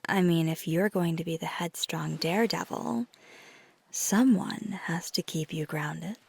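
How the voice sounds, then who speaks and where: Breathy, slow-talking flirty vampire woman played by an actress. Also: fairly low pitch, exaggerated voice. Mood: gently teasing. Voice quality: breathy, husky half-whisper. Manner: tender, reassuring, unhurried. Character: flirty, caring female vampire lover, protective and teasing. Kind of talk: ASMR roleplay, intimate one-sided dialogue.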